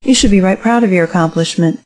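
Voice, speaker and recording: A woman's voice: lowish voice, fast, darkish tone, natural voice. A woman playing a practical, open-minded business investor in an audio drama, polite and reasonable. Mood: interested, reasonable.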